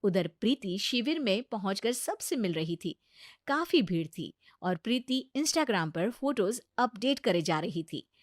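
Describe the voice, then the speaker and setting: A woman's voice: medium pitch, big pitch swings, measured pace, no echo, clean studio sound. A woman reading a scripted story as its narrator.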